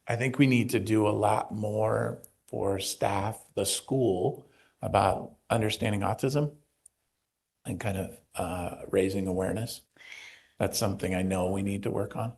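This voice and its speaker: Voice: deep voice, steady tone, measured pace, gravelly, a little mellow, breathy edge. A school administrator answering questions at a board meeting in brief, informal replies, often saying yeah. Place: district office board room.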